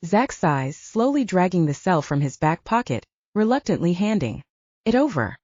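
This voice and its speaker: A woman's voice: fairly low pitch, sing-song, normal speed, a little mellow, no room sound. A woman reading scripted fiction as an audiobook narrator, in a dramatic storytelling style. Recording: dull lo-fi audio, dry.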